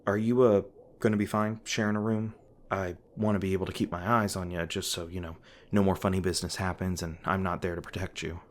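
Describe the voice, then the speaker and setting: A man voicing an awkward young monster hunter in an audio roleplay, low and hesitant, stumbling over his words as he looks out for the listener. There is a faint hiss under the voice. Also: flat delivery, brisk, lightly acted. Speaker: roleplay voice actor.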